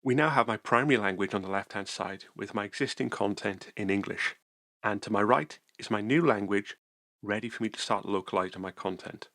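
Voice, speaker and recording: A man calmly narrating a software tutorial screencast, explaining each step methodically. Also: fairly low pitch, brisk, no echo.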